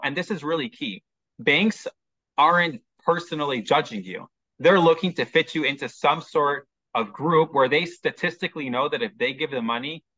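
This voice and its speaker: A man's voice: medium pitch, sharp tone, no room sound, lo-fi sound. Chicago-accented man explaining mortgages methodically. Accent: Chicago American. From Chicago, USA. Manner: methodical, frequent ums, explains step by step. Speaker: mortgage specialist guest. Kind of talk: explanatory interview talk.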